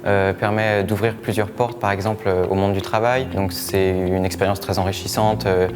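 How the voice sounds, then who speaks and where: A man giving a halting interview testimony about his school years, full of pauses and fillers. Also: low voice, flat delivery, background noise. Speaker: former student giving testimony. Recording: music bed under voice.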